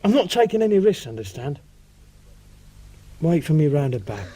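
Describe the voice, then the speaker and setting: Husky, wary professional burglar. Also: male voice, on the high side, sing-song, mellow. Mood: wary, practical. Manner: brisk, terse, bossy, colloquial. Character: cautious, matter-of-fact professional male burglar for hire. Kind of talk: naturalistic radio drama acting.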